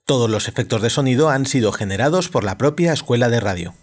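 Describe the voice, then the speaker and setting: Man announcing a radio play, mid-pitched. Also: expressive, fast. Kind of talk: scripted radio drama introduction.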